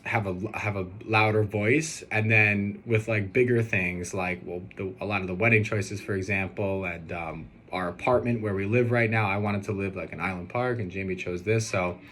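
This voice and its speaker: A man's voice: lowish voice, moderate pace, few pauses. A young man recording a casual personal video message, talking in a hesitant, rambling and earnest way. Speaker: groom-to-be answering questions on video. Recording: steady background noise.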